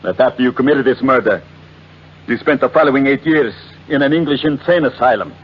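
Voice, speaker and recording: An actor playing a menacing blackmailer persona, slow and sinister, in a 1940s radio drama. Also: male voice, mid-range voice, breathy edge, close to an everyday voice. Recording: dull, muffled 1940s radio recording.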